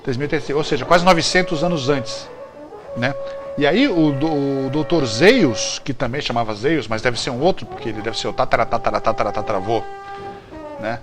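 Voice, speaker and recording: A man's voice: medium pitch, brisk. Colloquial Brazilian man over prominent music. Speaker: host. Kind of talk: casual informal chat about old TV series.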